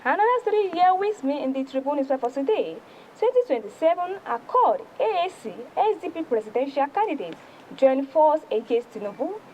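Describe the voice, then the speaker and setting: A female news presenter with a Nigerian accent reviews the newspapers in a high voice. Her delivery swings widely in pitch and is emphatic and deliberate. Some background noise sits faintly behind her. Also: big pitch swings, a little mellow, poor audio. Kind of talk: read-aloud newspaper review on TV.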